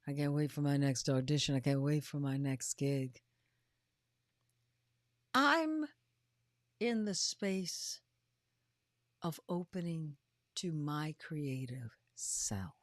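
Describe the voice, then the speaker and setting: A woman in her fifties or older giving a motivational talk as a host, with emphatic, drawn-out delivery that often drops into breathy, hushed phrases. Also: low-pitched, animated, no room sound, clean studio sound. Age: 50s or older. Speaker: podcast host and coach. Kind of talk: motivational livestream talk to followers.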